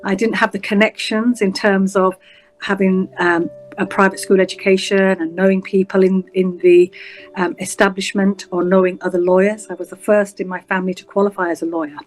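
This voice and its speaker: A woman in her fifties with a Yorkshire accent, talking through her career as an interview guest, measured and reflective with frequent pauses. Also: medium pitch, flat delivery, faint music behind, low-quality recording. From England. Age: 50s. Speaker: guest, senior prosecutor. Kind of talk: interview storytelling.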